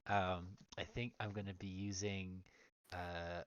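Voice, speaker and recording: A man's voice: sits low, level tone, slow pace, clean tone, muffled recording. A man playing in a tabletop game, hesitant and full of fillers as he thinks aloud. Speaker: player. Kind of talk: casual tabletop play.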